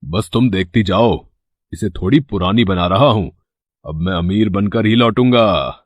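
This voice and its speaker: A man's voice: on the high side, moderate pace, no room sound, muffled recording, lightly acted. Guy doing a sly greedy big brother, whiny fake sweet talk, storybook drama, very dry sound. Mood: scheming. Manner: whiny, false-sweet, hesitant theatrical pleading. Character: greedy, scheming elder brother faking grief.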